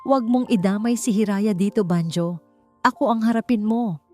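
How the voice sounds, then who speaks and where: A woman's voice: mid-range voice, moderate pace, dark tone, soft background music, voice pushed up, natural voice. A woman voicing a guilty, earnest young man in love in an audiobook drama, intense and desperate, with a Filipino accent. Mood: defiant. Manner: pleading, intense.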